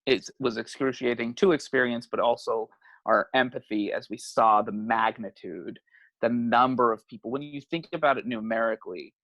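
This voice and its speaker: Man, late thirties, candid and reflective, mid-pitched voice, dry close sound. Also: moderate pace, fairly bright tone, no echo, low-quality recording. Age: 30s. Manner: reflective, laughs often, swears casually. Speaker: guest, family therapist. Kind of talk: candid conversational interview.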